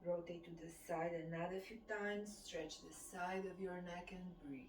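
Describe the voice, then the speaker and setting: Female exercise instructor, Romanian accent, measured and slow delivery of movement cues; roomy, off-mic sound with faint noise. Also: on the low side, steady tone. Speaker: fitness and qigong instructor. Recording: distant mic, echoey room, background noise.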